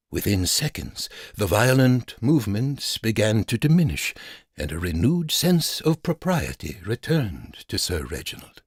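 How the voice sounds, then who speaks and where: Theatrical male narrator with lively, swooping intonation. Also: mid-range voice, no room sound, studio quality. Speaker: narrator and programme host. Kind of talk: theatrical scripted comic narration, mock radio broadcast.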